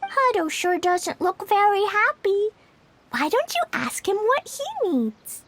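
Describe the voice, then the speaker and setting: A woman voicing a sweet, innocent little girl in a video game cutscene, sincere and simple in manner with a soft childlike voice. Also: very high-pitched, very animated, takes it slowly, slight background noise, heavily acted. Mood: innocently questioning. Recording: soft music underneath.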